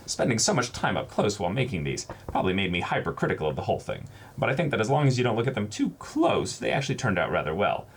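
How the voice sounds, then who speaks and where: A man chatting casually and reading letters aloud as co-host of an unboxing, halting when he ad-libs and expressive when he reads. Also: lowish voice, husky. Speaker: co-host of a reaction channel. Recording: faint background noise.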